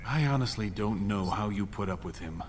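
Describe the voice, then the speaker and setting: Low-voiced scheming young dwarven prince acted by a man. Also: animated, a little hiss or hum, lightly acted. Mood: concerned. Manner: conspiratorial, deliberate. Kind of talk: fantasy video game acting. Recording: game dialogue under music.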